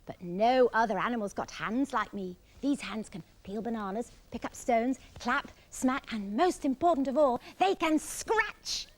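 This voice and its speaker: A woman's voice: a little high, lively intonation, a little slow, few pauses. A woman voicing a helpful, boastful male monkey who chatters away in a children's story. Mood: self-assured. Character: helpful, self-assured brown male monkey.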